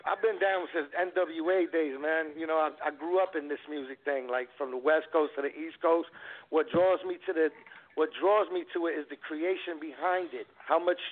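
Man in his forties on a tinny line. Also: fairly high pitch. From New York. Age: 40s. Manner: laid-back, rambling with many fillers. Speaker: guest rapper. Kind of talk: casual radio interview. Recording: phone-like narrow sound.

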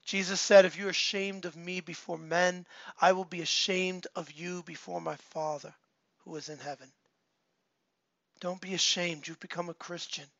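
Man, pastor, slightly high voice, earnest, repeats himself, still hoarse from a cold, dry muffled sound. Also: steady tone, normal speed, a bit bright, dry recording. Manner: earnest, repeats key phrases, many filler ums. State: recovering from a cold, voice not fully back. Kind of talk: online church service, announcements and appeals.

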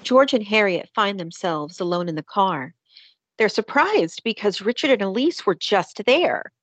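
A woman's voice: mid-range voice, animated, measured pace, fairly bright tone, dry recording. A woman narrating a scripted audio drama in a descriptive storytelling manner.

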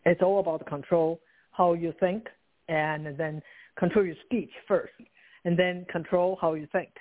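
A woman's voice: fairly low pitch, steady tone, a little slow. A woman from China, a guest author talking with urgent insistence and some grammar slips. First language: Chinese. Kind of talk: interview over the phone. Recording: tinny phone line.

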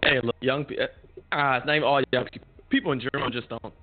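A man's voice: medium pitch, steady tone, fast. A man co-hosting a casual podcast, bantering in a laid-back way while losing his voice. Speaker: podcast co-host. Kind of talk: casual chat. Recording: tinny phone-like sound.

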